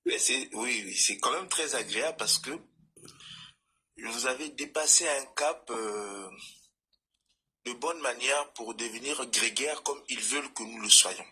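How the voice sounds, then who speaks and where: A man from Congo-Brazzaville calling into a live stream, speaking formally and deliberately about politics. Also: medium pitch, pushed and bright, slightly rough. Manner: articulate, formal, deliberate phrasing. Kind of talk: measured political commentary.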